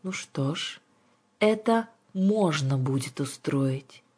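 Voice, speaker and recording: Женщина играет властную и хитрую ведьму-гадалку из книги, говорит грубовато, театрально и таинственно. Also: female voice, low-pitched, lively intonation, slow, darkish tone, husky, heavily acted. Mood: sly, mysterious. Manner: brusque, mysterious, colloquial. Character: bossy, cunning witch fortune-teller woman, gruff and theatrical.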